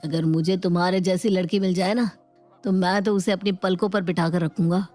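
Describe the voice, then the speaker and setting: A woman voicing a smooth-talking young man who sweet-talks and persuades in a dramatized moral story. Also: on the low side, steady tone, a little fast, darkish tone, soft background music, close to an everyday voice. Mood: flattering, concerned. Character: smooth-talking young male online suitor, flattering and insistent.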